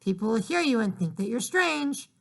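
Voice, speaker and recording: A man doing a shouting, scolding mother. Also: extremely high pitch, big pitch swings, moderate pace, creaky, pitched above the performer's own voice, heavily acted. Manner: loud, scolding calls. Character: exasperated mother shouting upstairs.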